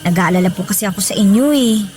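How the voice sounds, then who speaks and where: A woman's voice: mid-range voice, quick pace, darkish tone, close to an everyday voice. Woman acting a caring, respectful younger friend. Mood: concerned, kindly. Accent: Filipino. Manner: polite, solicitous. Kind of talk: Filipino radio drama acting.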